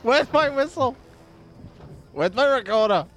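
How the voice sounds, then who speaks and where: High-voiced, slow-talking male co-streamer. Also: expressive, heavy background noise. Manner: joking, tries silly accents. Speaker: co-streamer playing a video game. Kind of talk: casual banter while gaming.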